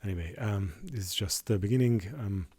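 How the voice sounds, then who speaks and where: A man whose first language is Hungarian, talking in an unscripted monologue on his channel, halting with many restarts and fillers. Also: fairly low pitch, warm tone, husky. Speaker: YouTuber.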